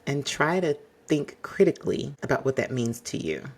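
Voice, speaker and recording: A man hosting an advice podcast, speaking to the listener in a polished, exacting and fairly monotone way. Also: medium pitch. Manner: measured, exacting, addresses listener directly. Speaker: podcast host.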